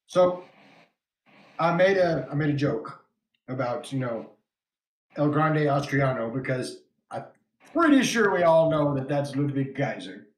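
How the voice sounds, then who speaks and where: Man, West Texas accent, fairly high voice, podcast host, echoey room. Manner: laughs often, reads viewer comments aloud. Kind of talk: casual wrestling podcast chat.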